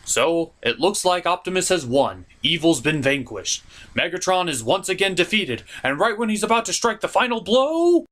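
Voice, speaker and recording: A man narrates a scripted comedic cartoon review as its host. His voice is bright and forceful, with very lively swings in pitch. The delivery is theatrical, jokey and emphatic. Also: normal speed.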